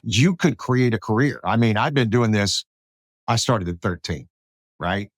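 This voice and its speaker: Middle-aged male radio-style host from South Alabama, lively pitch movement, casual and anecdotal, dry recording. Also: a little slow, dry recording. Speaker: podcast host, radio broadcaster. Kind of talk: casual conversational interview.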